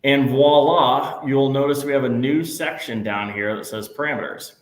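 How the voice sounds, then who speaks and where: A man instructor walking a live class through software steps, patient and reassuring. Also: medium pitch, fairly even intonation, moderate pace. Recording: echoey room, faint noise.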